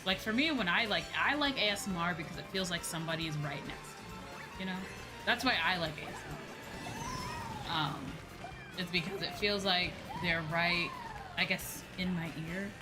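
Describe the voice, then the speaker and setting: A woman's voice: on the low side, rough recording. A woman streamer chatting casually with viewers as she games, rambling, repeating phrases and swearing freely. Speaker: streamer, VTuber host. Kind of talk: casual chat with viewers while gaming. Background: video game music.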